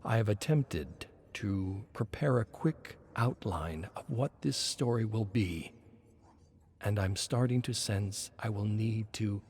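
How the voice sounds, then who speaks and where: An actor playing a brooding screenwriter who narrates his horror journal in a game, slowly and deliberately, pausing mid-phrase. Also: on the low side, a little mellow, a little hiss or hum. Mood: uneasy wonder.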